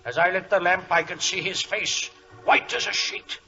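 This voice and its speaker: An actor playing a blustering, excitable colonel and racehorse owner in a 1940s radio drama, emphatic. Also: male voice, high voice, normal speed, music in the background, close to an everyday voice. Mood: earnest, recounting. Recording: muffled, hissy 1940s radio recording.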